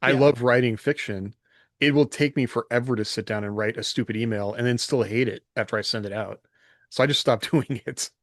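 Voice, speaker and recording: A man's voice: medium pitch, brisk, fairly bright tone, no room sound. Man chatting casually, dry close sound. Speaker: podcast co-host and fiction writer. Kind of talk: casual podcast chat.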